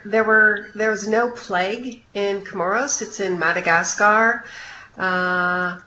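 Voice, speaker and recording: A woman giving an informational briefing on a conference call, in a measured, explanatory way with hesitant pauses. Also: medium pitch, pushed and bright. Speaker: program official briefing new volunteers. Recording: roomy, dull conference-call line with faint noise.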